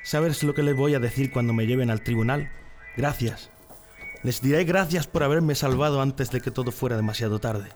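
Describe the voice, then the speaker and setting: A man's voice: mid-range voice, moderate pace, a little mellow, close to an everyday voice. Radio play casting: submissive, earnest party loyalist behind bars played by a man, mid-range voice, Spain accent, faint music. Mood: earnest, confessing. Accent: Spanish (Spain). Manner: earnest, chatty, confiding. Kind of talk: radio drama acting.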